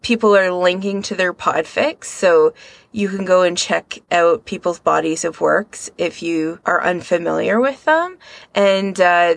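Creaky-voiced woman in casual podcast chat. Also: medium pitch, no room sound. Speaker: podcast co-host.

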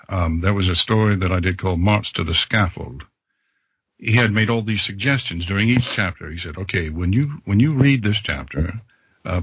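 A man with a deep, extremely husky voice recounts things casually as an interview guest. The recording is dry and a little dull. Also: no echo. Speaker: podcast guest, fan fiction reader. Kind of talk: casual anecdotal interview answer.

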